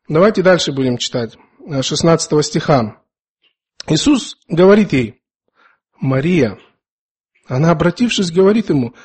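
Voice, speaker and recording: A male preacher with a husky voice pitched a little high. He sounds slightly under the weather and dry-mouthed, often clearing his throat. He speaks earnestly and informally, with hesitant pauses, in a sermon built around scripture reading. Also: a little mellow.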